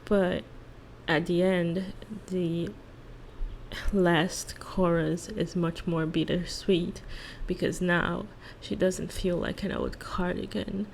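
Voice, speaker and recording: A woman speaking softly in an ASMR monologue, hushed and halting, lingering on phrases and at times slipping into a whisper. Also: on the low side, steady tone, gravelly, a little hiss or hum, rough recording. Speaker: ASMR creator and host. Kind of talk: soft-spoken ASMR role-play monologue with song analysis.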